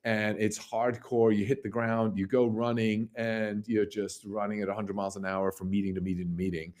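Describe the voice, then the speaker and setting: A man with a slightly hoarse voice hosting a casual livestream market commentary, rambling and emphatic, repeating phrases and posing rhetorical questions. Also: fairly low pitch, flat delivery, normal speed, darkish tone. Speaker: livestream host, company founder.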